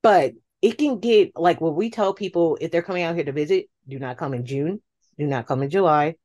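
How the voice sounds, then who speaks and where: A woman's voice: sits low, fairly quick, darkish tone. An American woman in casual chat as a podcast guest, telling stories and acting out reactions.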